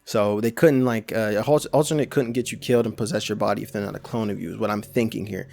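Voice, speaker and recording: A man's voice: lowish voice, fairly even intonation, quick pace, creaky, darkish tone. A young adult man giving casual, rambling video commentary full of restarts and slang. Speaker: YouTube commentator.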